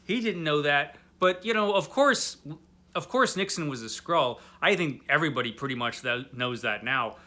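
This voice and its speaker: A man's voice: fairly high pitch, expressive, moderate pace, sharp tone. A tipsy man hosting a casual comic book review, rambling along in a wry, tongue-in-cheek way. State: drunk.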